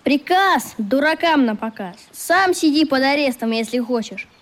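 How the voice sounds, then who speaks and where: A woman's voice: sits high, expressive, normal speed. A woman voicing a proud, defiant young boy at a summer camp in a radio play. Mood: defiant, indignant. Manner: stubborn, spirited.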